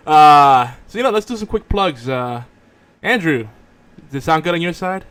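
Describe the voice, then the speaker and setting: A man's voice: fairly high pitch, animated, moderate pace, fairly bright tone, clean tone. A man hosting a live show with casual, off-the-cuff banter. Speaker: host.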